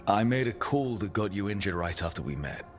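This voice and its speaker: An actor playing an earnest, steady mage who leads a team in a fantasy video game, speaking in a measured, serious way. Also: male voice, lowish voice, faint music behind, natural voice. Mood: concerned. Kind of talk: cinematic video game dialogue.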